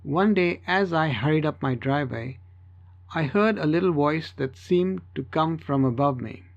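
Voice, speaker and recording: A man's voice: on the high side, moderate pace, creaky, lo-fi sound. A man reading a scripted first-person story as an audiobook narrator.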